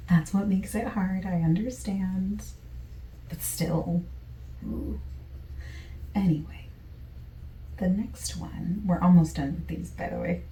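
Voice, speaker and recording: A woman playing a friendly, easygoing survey taker in a softspoken, partly whispered ASMR roleplay, with gentle, chatty asides. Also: lowish voice, echoey room, far from the mic, low-quality recording. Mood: chatty, amused. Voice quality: soft, breathy, partly whispered.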